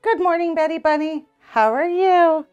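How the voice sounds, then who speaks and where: A woman's voice: extremely high pitch, moderate pace, dull audio, faint music behind, voice pushed up. A woman voicing a cheerful storybook schoolteacher with a singsong, teacherly delivery for small pupils.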